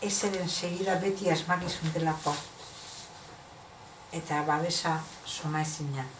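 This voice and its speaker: A low-voiced woman poet speaks at an informal reading. She is hesitant and corrects herself, heard from a distance in an echoing, noisy room. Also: fairly even intonation, a little slow. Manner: hesitant, self-correcting, searching for words. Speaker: poet presenting and reading own work. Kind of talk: informal book presentation and poetry reading. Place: bookshop event.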